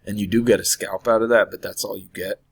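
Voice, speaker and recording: Man, deep-voiced, hoarse from illness, casual thinking-aloud chart review with throat clearing. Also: fairly even intonation, fast talker. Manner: thinking aloud, hesitant with many fillers and self-corrections. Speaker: trading analyst.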